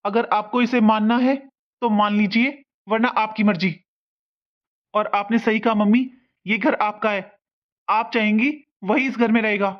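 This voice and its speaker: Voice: medium pitch, steady tone, measured pace, close to an everyday voice. An actor playing a defiant young newlywed son in a cartoon family melodrama, earnest, firm and insistent. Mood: firm, resolute. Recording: dull lo-fi sound.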